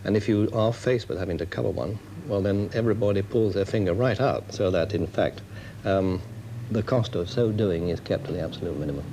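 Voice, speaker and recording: A man's voice: deep voice, brisk, warm tone, slight background noise. A British man giving considered, wordy and formal answers as an engineering director in an interview.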